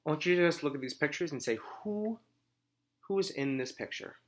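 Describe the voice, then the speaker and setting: A man reading a story aloud as a teacher, phrasing it deliberately and simply for children and pausing to ask comprehension questions. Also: a little high, expressive, a little hiss or hum.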